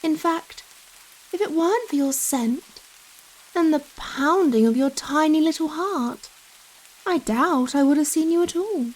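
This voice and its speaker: Woman as a giant vampire lady, high voice, unhurried, coy, gently dominant, possessive, ASMR roleplay, rain behind. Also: poor audio. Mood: teasing, controlling. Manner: slow, coy, coddling talk with pet names. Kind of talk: intimate ASMR roleplay acting. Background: steady rain.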